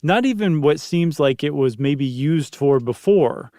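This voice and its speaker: A man's voice: on the high side, animated, unhurried, mellow, no echo, studio quality. A man co-hosting a podcast, explaining things in a casual, chatty and descriptive way. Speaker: podcast co-host.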